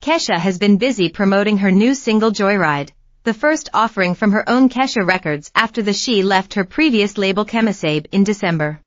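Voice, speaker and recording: A woman narrating a scripted celebrity news story in a steady read-aloud manner. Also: medium pitch, brisk, no room sound, highs cut off.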